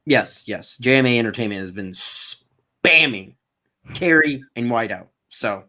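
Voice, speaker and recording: A man hosting a casual sports livestream, talking in an animated, searching way. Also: medium pitch, measured pace. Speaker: sports channel host.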